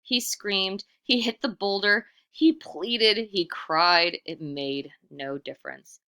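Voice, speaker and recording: A woman reading a storybook aloud in expressive narration, animated and putting questions to the listener. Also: mid-range voice, takes it slowly, clean tone. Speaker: storybook reader.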